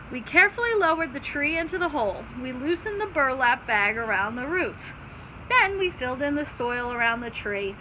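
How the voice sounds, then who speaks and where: A woman's voice: high voice, bright, forceful tone, highs cut off. A woman teacher reading aloud to children, stopping to explain simply and put questions to her listeners. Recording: clear background noise.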